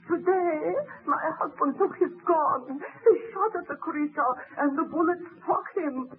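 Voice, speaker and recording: Sobbing peasant widow. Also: female voice, high voice. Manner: weeping, breathless storytelling. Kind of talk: 1930s radio drama acting. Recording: tinny old radio broadcast with hiss.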